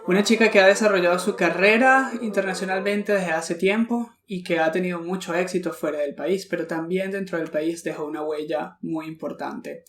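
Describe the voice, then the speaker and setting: A man hosting an interview podcast, effusive and warm in greeting, often repeating himself as he shapes his questions. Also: high-pitched, normal speed, hardly any pauses, echoey room. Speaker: podcast host. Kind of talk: friendly interview.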